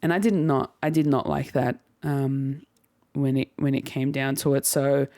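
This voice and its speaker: A young adult host muses aloud in an unhurried, easygoing way, circling back and correcting themselves with little laughs along the way. The voice is smooth and clean. The recording is dry and close, with no room sound. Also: mid-range voice, fairly even intonation, mellow, dry recording. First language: Turkish heritage household, speaks English at home. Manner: reflective and rambling, frequent laughs and self-corrections. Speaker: podcast host. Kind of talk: casual solo podcast monologue.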